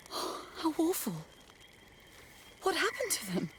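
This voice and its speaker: An actress playing an earnest, principled scholar-priestess in a video game cutscene, formal and emotive. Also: female voice, very high-pitched, big pitch swings, slow pace, a bit bright, slight background noise, full character voice, low-quality recording. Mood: shocked.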